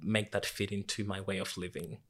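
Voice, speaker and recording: A young man from Zimbabwe, speaking in a relaxed interview. His voice sits fairly low, and he tells his stories with frequent laughs and bursts of excitement. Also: a little fast. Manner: animated storyteller, laughs often, rising into excitement. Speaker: interview guest. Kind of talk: casual reflective interview talk.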